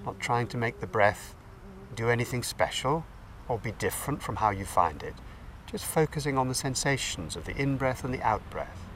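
Man guiding meditation, expressive, distant mic. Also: mid-range voice. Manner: gentle, unhurried instruction with pauses. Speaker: meditation teacher. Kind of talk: guided meditation. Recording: distant mic, faint background noise.

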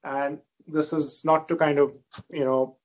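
A middle-aged man from India giving a relaxed academic lecture as a visiting professor, self-deprecating and full of fillers. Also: a little high, a little slow, rough recording.

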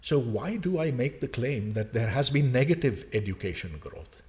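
Older man, from Pakistan, professor giving a talk, indignant and emphatic, lively rising and falling pitch. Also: normal speed. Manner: emphatic, indignant, rhetorical questions. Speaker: university professor. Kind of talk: public lecture.

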